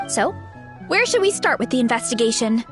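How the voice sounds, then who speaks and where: A woman voicing a gentle, earnest young heroine in a video game, with a sincere and slightly hesitant manner. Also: fairly high pitch, very animated, brisk, over music. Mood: resolute.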